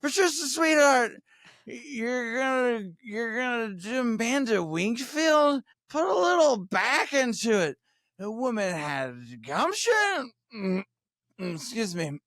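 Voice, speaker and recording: A man's voice: very high voice, big pitch swings, takes it slowly, fairly bright tone, dry recording, clean studio sound, pitched above the performer's own voice, full character voice. A man voicing the brash, boozy ghost of an older mother, sarcastic, sweary and nagging in a comedy sketch.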